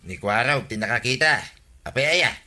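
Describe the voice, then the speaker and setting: An actor playing a nosy, scheming village husband in a radio comedy drama. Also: male voice, mid-range voice, normal speed, pushed and bright, faint music behind. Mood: curious, scheming.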